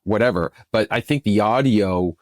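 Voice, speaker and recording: Man, deep voice, interview guest, enthusiastic explainer, halting phrasing, dry sound. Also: no echo. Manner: enthusiastic, halting with repeated starts. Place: remote recording over internet software.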